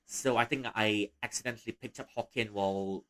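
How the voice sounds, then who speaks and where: A man's voice: fairly low pitch, steady tone, a little fast. Rambling young man, chatty streamer. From Malaysia. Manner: rambling, chatty, frequent restarts and soft laughs. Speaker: livestreamer. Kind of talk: casual livestream chat.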